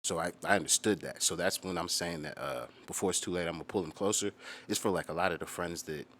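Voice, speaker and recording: A young man with a low voice talks in a loose, informal way, like a musician breaking down his own work. His speech is slangy and emphatic, with plenty of swearing. Noticeable noise sits behind the voice. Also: quick pace, fairly bright tone. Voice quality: low-pitched. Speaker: musician explaining own lyrics on own channel. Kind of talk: casual explanatory chat.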